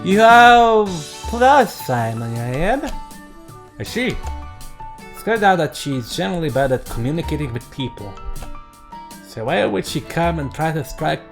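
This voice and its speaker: A man reading a visual novel aloud as a let's play host, mixing narration with casual, excitable commentary. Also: on the high side, unhurried. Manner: excitable outbursts between narrated lines. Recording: game music behind the voice. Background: game background music.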